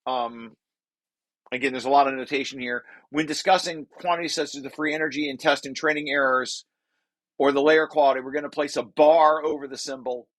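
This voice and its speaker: Guy doing a technical read-through, mid pitched, informal, keeps restarting his asides, dry sound no room. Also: a bit bright, no room sound, rough recording. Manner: informal, halting asides with repeated restarts between read passages. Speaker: presenter reading and explaining a research paper draft. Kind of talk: technical walkthrough, reading aloud with off-the-cuff explanations.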